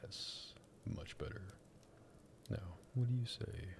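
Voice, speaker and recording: A man playing a sadistic, possessive vampire master in an ASMR roleplay, teasing and politely menacing, with a breathy, gravelly voice. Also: medium pitch, expressive, takes it slowly, pitched above the performer's own voice. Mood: commanding, amused.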